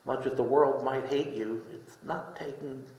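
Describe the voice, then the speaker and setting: Middle-aged preacher in an echoey room. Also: male voice, medium pitch, fairly even intonation, darkish tone. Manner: deliberate, with pauses between short phrases. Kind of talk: sermon with scripture readings. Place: indoor room, speaking from a lectern.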